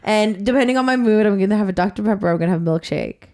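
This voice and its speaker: Female American host, chatty and playful, easygoing conversational delivery at a medium pitch. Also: mellow. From United States. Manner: chatty, playful, quick to laugh. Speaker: radio show host. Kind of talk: casual chat.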